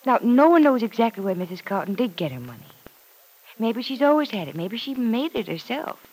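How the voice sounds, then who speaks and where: An actress in an old-time radio drama playing a troubled, principled working woman, earnest and gently firm. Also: a little high, sing-song, a little fast, husky, full character voice, rough recording. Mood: earnest, resigned.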